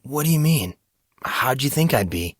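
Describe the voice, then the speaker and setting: Man as a friendly, gallant teenage boy, lifted pitch. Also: very animated, moderate pace, a bit bright, husky, no room sound, studio quality. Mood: playful. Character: friendly, courteous, self-deprecating teenage boy student.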